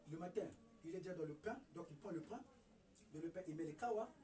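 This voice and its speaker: A high-pitched man delivers an emphatic lecture, firing rhetorical questions and stumbling over restarts. He sounds set back from the microphone, a bit distant. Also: fast, dark tone. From Republic of the Congo. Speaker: herbal remedy promoter. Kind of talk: lecturing monologue.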